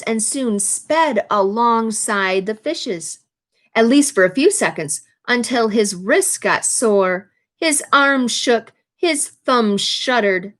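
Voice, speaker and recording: A woman with a mid-range voice reads a story aloud for young children. Her pace is unhurried, with expressive emphasis on key words. She moves between storytelling and simple, instructive explanation. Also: medium pitch. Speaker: reader of a children's picture book, teaching.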